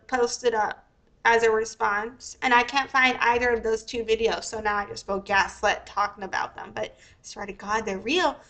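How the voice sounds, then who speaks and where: A young woman with a squeaky voice who talks in a rambling, profane, casual livestream style. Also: bright, forceful tone, poor audio. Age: young adult. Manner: rambling, profane, many fillers. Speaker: live streamer.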